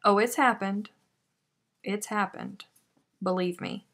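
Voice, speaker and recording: A woman's voice: medium pitch, steady tone, takes it slowly, a bit bright. A woman hosting a live crochet stream, chatting casually and self-deprecatingly as she reads out viewer comments.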